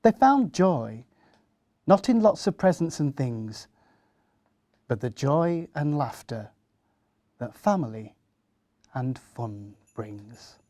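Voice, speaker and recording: A man reading a rhyming children's story aloud in an expressive storytelling style. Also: medium pitch, takes it slowly, long pauses, dry recording.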